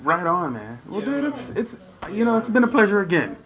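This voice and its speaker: A man's voice: sits high, huge pitch swings, brisk, fairly bright tone, rough recording. A man hosting a casual interview in an informal, chummy way. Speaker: show host, interviewer. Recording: crowd chatter around the voice. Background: crowd chatter.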